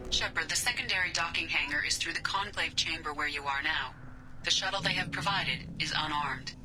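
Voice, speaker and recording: A woman's voice: low-pitched, a little fast, crowd noise. A woman playing a cool, informative shipboard officer in a video game, heard through a radio filter. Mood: businesslike. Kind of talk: video game dialogue acting. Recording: reverberant radio voice under clear music.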